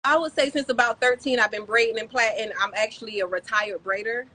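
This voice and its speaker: A woman in her thirties, from the Bahamas and raised in South Florida, telling her story as a podcast guest in a relaxed, chatty way with many "um"s and "like"s. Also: fairly high pitch, fast talker, a little hiss or hum, low-quality recording. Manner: warm, chatty storytelling with frequent "um" and "like". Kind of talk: casual interview chat.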